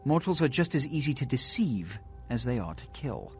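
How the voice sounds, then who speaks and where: An actor playing a haughty, scheming vampire prince in a video game, speaking in a formal, commanding, theatrical way. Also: male voice, medium pitch, sing-song, normal speed, faint music behind. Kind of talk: video game character acting.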